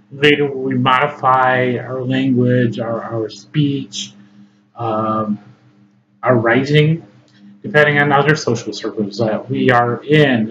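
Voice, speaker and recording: Chicago guy teaching, keeps saying right? okay?, kinda muffled lo-fi audio with a little hiss. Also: mid-range voice. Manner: conversational, frequent "right?" and "okay?" check-ins, many ums. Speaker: English tutor. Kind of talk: informal lecture to camera. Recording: slightly dull lo-fi audio with faint noise.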